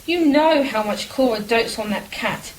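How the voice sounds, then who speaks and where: An actress plays a genteel English married woman in a period radio drama. She is high-pitched, homely and polite, chatting away over a faint wash of noise. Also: a little slow, close to an everyday voice, rough recording. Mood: wry.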